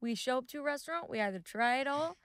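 Bright-pitched young woman, wry. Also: animated, dry recording. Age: 20s. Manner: quick, wry quips. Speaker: guest, host's daughter. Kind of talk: casual family conversation. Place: cozy living-room studio on a sofa. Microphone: boom-arm studio mic.

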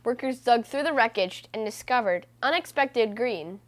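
Higher-voiced woman reading a picture book aloud. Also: expressive, normal speed. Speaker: children's book reader, host. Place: small room indoors.

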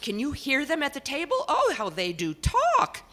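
A woman voicing a haughty long-dead lady in a painted portrait, prim, old-fashioned and loudly indignant. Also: wildly swooping pitch, normal speed, full character voice. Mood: indignant chatter. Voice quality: exasperated, prim, loud. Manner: old-fashioned formal diction, shrill bursts.

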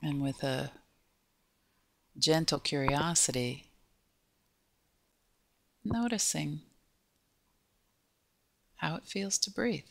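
A woman guiding a body scan meditation in a gentle, unhurried way, with pauses between short phrases. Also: low-pitched, animated, vocal fry, dry recording. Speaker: meditation guide.

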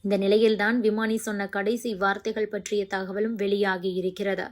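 A woman's voice: medium pitch, flat delivery, moderate pace. A woman reading scripted news narration as a news narrator.

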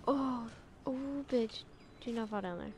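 A woman's voice: a little high, a little slow, background noise. A woman giving casual, reactive gameplay commentary with some swearing. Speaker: gamer, video creator. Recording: game music behind the voice. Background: video game music and sounds.

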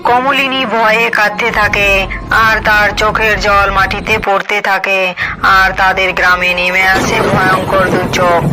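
A woman's voice: medium pitch, level tone, moderate pace, music in the background, rough recording. A woman narrating a scripted fairy tale for a cartoon.

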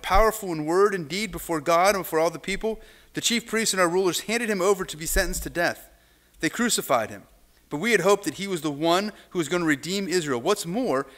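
Higher-voiced man preaching in a church. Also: a bit bright. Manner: conversational, with frequent restarts and asides. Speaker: pastor. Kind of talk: sermon. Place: church sanctuary, standing at a lectern. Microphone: far camera.

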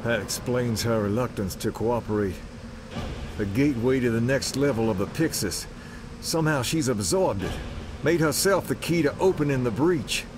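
A man's voice: mid-range voice, expressive, normal speed, noisy background, lightly acted. A man playing a stern, commanding older exorcist priest in a game cutscene, solemn and unflinching. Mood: grave. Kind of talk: video game cutscene acting.

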